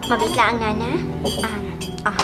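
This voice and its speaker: A woman's voice: on the high side, little pitch movement, moderate pace, loud music behind the voice, close to an everyday voice. A woman acting a courteous restaurant boss in an Ilocano radio drama, polite and businesslike. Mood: polite, requesting.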